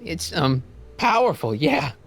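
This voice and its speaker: A man's voice: on the high side, sing-song, slow pace, gravelly, full character voice. A man voicing a curious, casual, slightly wry teenage boy in a video game. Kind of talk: video game character acting.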